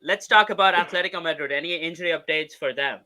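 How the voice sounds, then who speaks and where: A man hosting a casual sports podcast, upbeat, leading the chat with quick questions. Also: a little high, a crowd in the background. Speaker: podcast host.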